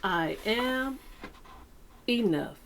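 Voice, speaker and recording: A woman reading a picture book aloud to children like a teacher, with deliberate, dramatic phrasing and pauses. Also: a little high, animated, a bit bright, a little hiss or hum. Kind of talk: expressive children's read-aloud.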